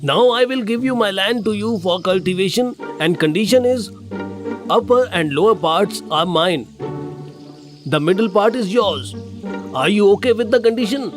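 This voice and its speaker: A scheming landlord from a children's fable, played by a man, bossy and condescending. The voice is high for a man and very animated, over soft music. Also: normal speed. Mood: bossy, annoyed.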